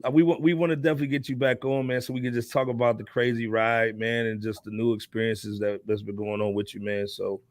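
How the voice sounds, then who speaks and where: A man's voice: medium pitch, level tone, fast, hardly any pauses, no room sound. An American man co-hosting a podcast with casual, slangy banter, often repeating himself and tagging phrases with a familiar address. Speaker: podcast co-host.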